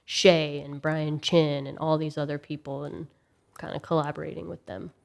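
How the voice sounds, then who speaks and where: A woman in her thirties, originally from Russia, talks easily in a studio interview as a guest musician. Her voice sits low, and she tells stories with energy, slipping into the voices of the people she quotes. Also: normal speed, creaky. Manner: animated storytelling, acts out quoted voices. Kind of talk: casual interview chat.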